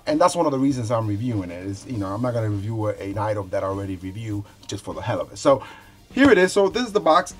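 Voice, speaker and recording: Guy in his 40s doing a casual unboxing review, mid-pitched voice, kinda halting, faint music behind. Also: darkish tone. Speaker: product reviewer, show host. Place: small indoor room with shelves.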